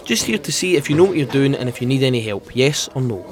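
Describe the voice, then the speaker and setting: A man's voice: mid-range voice, animated, fast, close to an everyday voice. A man playing a stern, exasperated maths teacher with firm, clipped authority in an audio drama. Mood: impatient. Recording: distant voice amid busy chatter.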